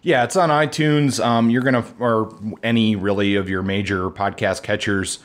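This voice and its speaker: A man's voice: fairly low pitch, steady tone, moderate pace. A man co-hosting a podcast, chatting casually and rambling a little with restarts. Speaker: podcast co-host. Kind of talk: casual chat.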